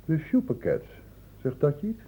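A man playing a shrewd senior doctor in a Dutch radio play, brisk and probing, with a condescendingly paternal authority. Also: a little high, huge pitch swings, warm tone, slightly rough, full character voice. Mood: probing, fatherly. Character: shrewd senior male doctor questioning a student, brisk, fatherly. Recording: thin phone-like old radio recording, hissy.

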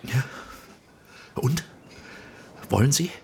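An actor playing a courtly, flattering film director in a radio drama, speaking theatrically with dramatic pauses. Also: male voice, medium pitch, vocal fry, a little hiss or hum, heavily acted. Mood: pleading, persuasive. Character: Austrian male film director, courtly, flattering, persuasive visionary.

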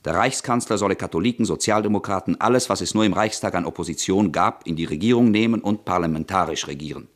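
A man narrating a historical radio play in an even, documentary tone. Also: low-pitched, fast talker, few pauses.

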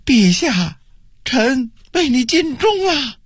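A man voicing an indignant minor court official in a story, defiant unto death and declaiming loudly and passionately. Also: very high-pitched, very animated, takes it slowly, breathy edge, no room sound, studio quality, voice pushed up, full character voice. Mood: anguished cry. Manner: impassioned, declamatory shouting.

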